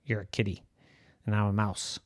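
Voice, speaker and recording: A man's voice: on the low side, little pitch movement, moderate pace, no room sound, studio quality, close to an everyday voice. A man voicing a timid, wary little female mouse who speaks hesitantly. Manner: hesitant, nervous.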